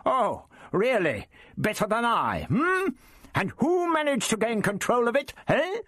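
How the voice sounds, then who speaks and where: A man's voice: on the high side, wildly swooping pitch, unhurried, slightly rough, no echo, clean studio sound, pitched above the performer's own voice, full character voice. A man voicing a proud, testy old doctor in an audiobook story, with sniffy, questioning interjections. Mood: outraged.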